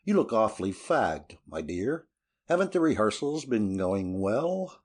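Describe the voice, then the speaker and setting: A man plays a wounded, courteous husband in an audiobook drama. His low voice rises and falls widely, while the delivery stays formal, measured and bitterly wry. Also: sing-song, a little mellow. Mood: wry, polite.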